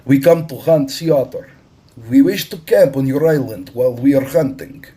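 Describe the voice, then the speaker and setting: A man voicing a tall, shrewd, haughty Russian captain in an audiobook, declaiming loudly in drawn-out phrases. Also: medium pitch, voice pushed up, lightly acted. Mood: formal, declaring.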